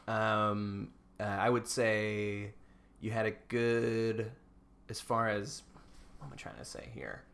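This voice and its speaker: A man's voice: lowish voice, takes it slowly, creaky, fairly bright tone. Encouraging American man chatting casually. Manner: encouraging, informal. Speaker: podcast co-host. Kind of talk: casual chat giving feedback.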